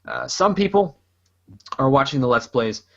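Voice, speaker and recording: A man's voice: mid-range voice, expressive, normal speed, vocal fry, fairly bright tone, muffled recording. A man casually chatting as a podcast host, rambling loosely and laughing often. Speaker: podcast host and gaming YouTuber. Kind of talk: casual chat.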